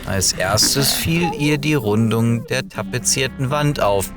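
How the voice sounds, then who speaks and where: A man reading a short story aloud as a dramatic, expressive narrator. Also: mid-range voice, takes it slowly, music in the background. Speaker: audiobook reader.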